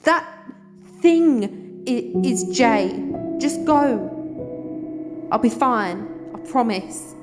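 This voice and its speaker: A woman's voice: a little high, animated, extremely slow, pauses often, loud music behind the voice. An actress playing a fierce, caring female spaceship crew member in an audio drama. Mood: worried.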